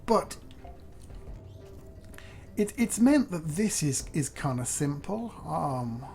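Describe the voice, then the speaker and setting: A slightly hoarse man, thinking aloud in chatty, self-deprecating gameplay commentary as host. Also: fairly high pitch, very animated, slow pace, darkish tone, soft background music. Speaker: let's play host.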